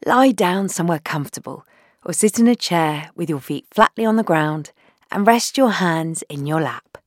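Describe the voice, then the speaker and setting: A woman leading a guided meditation in a soothing, gentle, unhurried way, leaving long pauses between phrases and softening into breathy endings. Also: fairly low pitch, lively intonation, no room sound, clean studio sound. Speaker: guided meditation leader, founder.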